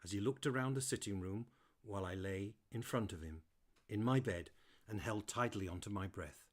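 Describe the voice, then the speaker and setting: A man voicing a playful, chatty terrier who tells his own story in an animated, mischievous manner, as audiobook narration. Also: fairly low pitch, moderate pace, natural voice. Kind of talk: first-person audiobook narration.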